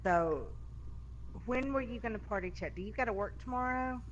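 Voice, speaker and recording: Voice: mid-range voice, moderate pace, highs cut off, slight background noise. An adult friend chatting in an easygoing, agreeable way over party chat. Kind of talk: casual chat.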